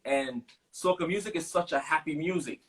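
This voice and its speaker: A man in his thirties with a Caribbean English accent from Sint Maarten and a fairly high voice. He talks in a loose, relaxed way, telling stories with lots of fillers as an interview guest. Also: normal speed, rough recording. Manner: relaxed storytelling with many fillers. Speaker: guest musician. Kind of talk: casual interview chat.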